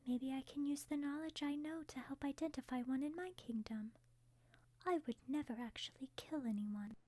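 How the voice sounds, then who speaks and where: A woman playing a refined young princess who eagerly shares morbid interests in a soft, breathy half-whisper for an ASMR roleplay. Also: high-pitched, moderate pace, no room sound, close to an everyday voice. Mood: earnest, defensive.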